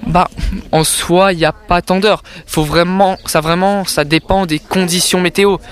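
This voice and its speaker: A man's voice: high voice, steady tone, moderate pace. A man explaining at length in a radio interview, hesitant, with many fillers and restarts. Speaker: amateur astronomer interviewee. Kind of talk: casual interview.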